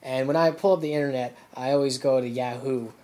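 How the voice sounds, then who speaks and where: Comedian co-host, man, mid-pitched, rambling frustrated rants. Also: fairly even intonation, quick pace, warm tone. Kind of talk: casual comedy chat.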